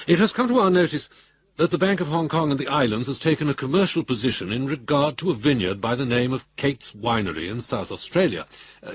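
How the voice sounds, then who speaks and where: Husky, officious punctilious solicitor. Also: male voice, medium pitch, no room sound, muffled recording. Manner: officious, hesitating with small ums. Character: formal, punctilious solicitor dictating to an opponent. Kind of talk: radio drama, fax read aloud.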